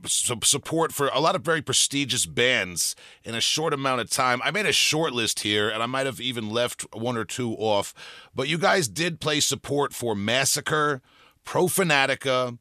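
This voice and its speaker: A man from the United States hosts a casual interview in a loose, friendly way, with a bright, sharp voice. The recording is dry and close. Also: medium pitch, expressive, moderate pace, no echo. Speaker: podcast host and vocalist.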